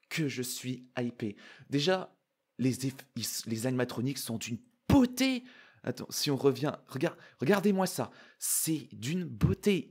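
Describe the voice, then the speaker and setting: A man giving casual, excitable, slangy reaction commentary. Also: medium pitch. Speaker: YouTuber reacting to and analysing a trailer.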